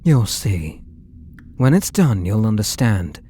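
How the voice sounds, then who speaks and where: A British man playing an obsessive, possessive artist in love, speaking softly and breathily with tender menace in an ASMR roleplay. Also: lowish voice, very animated, a little hiss or hum. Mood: adoring, intimate. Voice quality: soft and breathy, often dropping to whispers. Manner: tender, slow, menacingly intimate.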